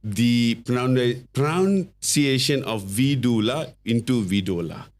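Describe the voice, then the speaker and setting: A middle-aged man from Malaysia with a Malaysian English accent, a podcast guest telling his story in a halting way, full of fillers and restarts. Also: mid-range voice, expressive. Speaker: guest, company founder.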